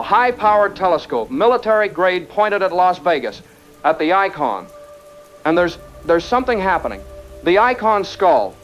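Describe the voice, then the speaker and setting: A man's voice: on the high side, close to an everyday voice, poor audio. Man playing a shaken older colonel over music. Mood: awed, tense. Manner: halting, repeats himself in short fragments. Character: shaken retired American colonel, lone bunker survivor. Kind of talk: ham radio emergency log, audio drama.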